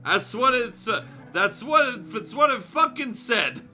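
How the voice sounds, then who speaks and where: A man's voice: extremely high pitch, sing-song, a little slow, pushed and bright. A man streaming a fan fiction reading, narrating the story with casual, irreverent, sweary asides. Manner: crude, joking, sweary. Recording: music behind the voice.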